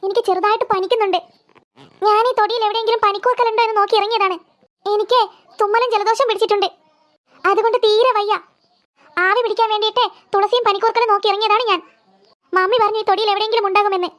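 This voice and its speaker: A woman's voice: extremely high pitch, fairly even intonation, fast, pauses often, a bit bright. A woman voicing a sulky, weary little girl in a children's cartoon, petulant and whiny. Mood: weary, unwell.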